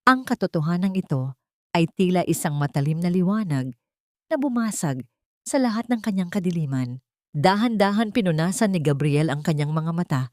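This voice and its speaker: Low-voiced woman narrating dramatic stories. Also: a little mellow, dry recording, studio quality. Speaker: storyteller. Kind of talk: dramatic scripted audio story narration.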